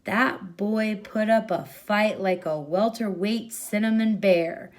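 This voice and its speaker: A woman's voice: fairly high pitch, slight background noise. Woman reading a short story expressively. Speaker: story reader.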